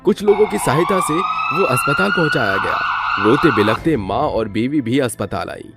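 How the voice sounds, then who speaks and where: A man's voice: medium pitch, wildly swooping pitch, moderate pace, loud music behind the voice. A man narrating a scripted story in a dramatic storytelling style.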